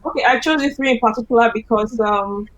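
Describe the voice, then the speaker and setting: A woman answering as an election candidate on a panel, speaking haltingly with many fillers. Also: fairly high pitch, a crowd in the background, rough recording.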